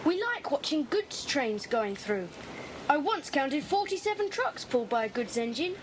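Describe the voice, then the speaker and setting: A woman's voice: high-pitched, big pitch swings, normal speed. A woman playing a curious young schoolboy, innocent and inquisitive, in a children's audiobook dramatisation. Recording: noisy old cassette dramatisation.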